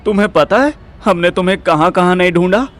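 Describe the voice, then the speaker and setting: A man's voice: high voice, brisk, a bit bright. A man playing a hot-headed, jealous, teasing young boyfriend in an audio drama, with an Indian accent and exclamatory delivery that mixes in English. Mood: teasing, hurt. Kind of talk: Hindi audio drama acting. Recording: music behind the voice.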